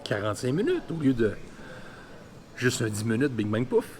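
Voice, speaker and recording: A man's voice: medium pitch, big pitch swings, moderate pace, a little mellow. A man of about fifty with a Quebec French accent, telling a personal story candidly and colloquially in a vlog. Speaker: vlogger.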